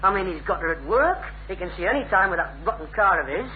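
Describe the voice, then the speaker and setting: A man's voice: high-pitched, lively intonation, quick pace. An actor playing a cheeky, scheming young Northern English working-class man in a radio sitcom, with indignant, needling banter. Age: young adult. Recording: narrow, noisy old radio recording.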